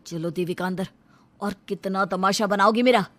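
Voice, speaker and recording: A woman's voice: mid-range voice, steady tone, normal speed, faint music behind, natural voice. A woman voicing an exasperated young husband in a cartoon story, placating and insistent. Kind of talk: Hindi cartoon story dialogue.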